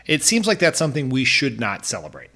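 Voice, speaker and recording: A man's voice: mid-range voice, huge pitch swings, brisk, sharp tone. An American man co-hosting a podcast, making casual, blunt and sardonic political commentary. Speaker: podcast co-host.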